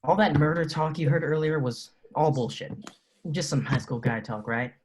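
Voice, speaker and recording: An actor reading a protective, foul-mouthed high school best friend at a film table read. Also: male voice, normal speed, a little mellow, close to an everyday voice. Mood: confiding.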